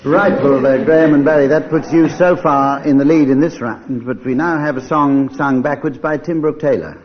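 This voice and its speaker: A man chairing a radio comedy panel game for a studio audience in a dry, deadpan manner. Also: fairly high pitch, moderate pace. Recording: dull, noisy vintage radio broadcast.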